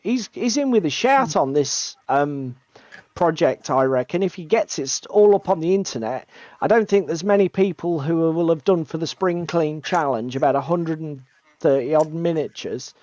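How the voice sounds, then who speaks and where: Man, gravelly strained voice, casual chatty co-host, dull dry lo-fi sound. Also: on the high side, animated, normal speed, a little mellow, no room sound. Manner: chatty, self-deprecating, swears casually. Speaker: co-host of a tabletop hobby stream. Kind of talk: casual chat.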